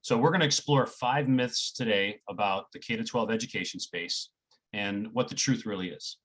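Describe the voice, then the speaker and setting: A man moderating a career webinar, upbeat and brisk, reading scripted transitions. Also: fairly low pitch, fairly bright tone. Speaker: panel moderator.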